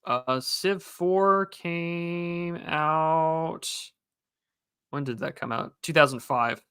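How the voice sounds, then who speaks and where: A man's voice: on the high side, drawn-out words, sharp tone, smooth, dry recording, studio quality. A young man hosting a podcast in casual chat, hesitant and restarting often. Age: young adult. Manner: hesitant, many fillers and restarts. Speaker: podcast host and streamer.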